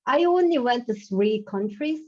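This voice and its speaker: A young woman from South Korea with a Korean accent, speaking English haltingly as a guest author in a casual interview. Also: fairly high pitch, lively intonation. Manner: halting, searching for words, laughs often. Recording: dull lo-fi sound.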